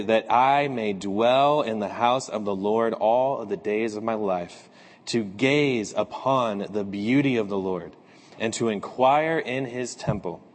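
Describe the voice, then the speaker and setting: A slightly hoarse man speaking as a pastor, reading scripture, praying and giving announcements in a measured, earnest way. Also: medium pitch, big-room sound. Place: church sanctuary.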